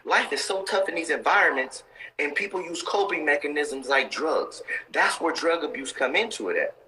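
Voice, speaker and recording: A man's voice: on the high side, expressive, moderate pace, bright, forceful tone, rough recording. A man in his thirties giving motivational talk on a live stream, intense and preachy, repeating his points for emphasis. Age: 30s.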